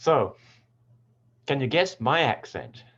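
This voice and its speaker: A man from New Zealand with a mixed New Zealand, American and British accent, explaining English pronunciation in a relaxed online lesson, with frequent ums. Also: medium pitch, slow, dull audio.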